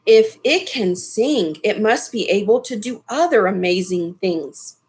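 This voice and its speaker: A woman's voice: mid-range voice, animated, unhurried, darkish tone, close to an everyday voice. A woman voicing an earnest, eager young boy in a children's storybook, plain-spoken. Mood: wondering.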